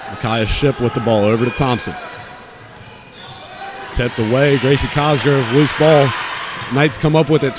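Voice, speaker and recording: A man doing live play-by-play commentary of a basketball game from inside a school gymnasium. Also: medium pitch. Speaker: play-by-play announcer. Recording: loud gym crowd and court noise. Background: crowd, bouncing basketball.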